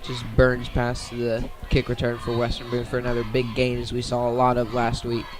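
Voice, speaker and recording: A man's voice: mid-range voice, completely flat delivery, moderate pace. A man doing live radio play-by-play of a football game outdoors, in short clipped phrases. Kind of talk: live radio sports commentary.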